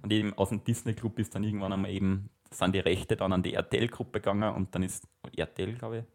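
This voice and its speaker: A young man chats on a podcast in a fairly low voice. He talks loosely and laughs easily, and now and then he hums old cartoon tunes. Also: steady tone, fast talker. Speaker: podcast co-host. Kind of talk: casual chat.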